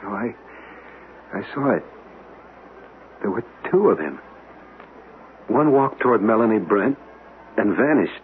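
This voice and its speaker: An actor playing an earnest, courteous gentleman in a ghost mystery, measured and polite in a radio drama. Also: lowish voice, expressive. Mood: shaken, grave. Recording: narrow, noisy old radio broadcast.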